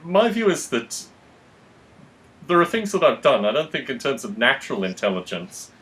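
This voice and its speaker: A man talking reflectively in conversation, measured, analytical and articulate. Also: mid-range voice, very animated, a bit bright. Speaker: podcast host.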